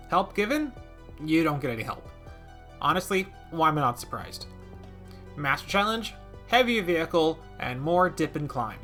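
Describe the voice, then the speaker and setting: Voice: medium pitch, bright, forceful tone. A video game commentator narrating a scripted gaming video with wry, playful asides.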